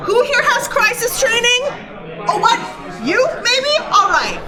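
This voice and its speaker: Female tabletop player, very high and animated, brash improvised banter in a busy convention hall. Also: unhurried, over music. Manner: brash, sweary. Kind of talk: improvised live role-play chat. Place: large hall at a gaming convention, live. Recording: clear crowd noise of convention hall.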